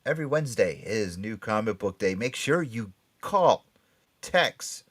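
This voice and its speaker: A man hosting a casual pop culture talk show, speaking haltingly and often repeating and rephrasing himself. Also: on the low side, animated. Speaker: podcast and radio show host.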